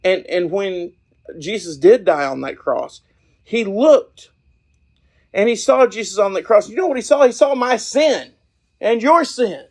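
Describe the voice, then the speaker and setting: Guy with a kinda higher voice doing a folksy preacher podcast, earnest, stop and start, talking outside. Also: expressive, measured pace, a little mellow. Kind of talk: informal evangelistic talk. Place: outdoors.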